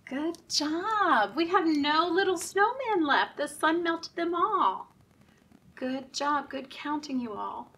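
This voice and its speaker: A woman leading story time for young children in a sing-song, deliberate, encouraging way, often asking the children questions. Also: high voice, lively intonation, fairly bright tone, distant mic, slight background noise.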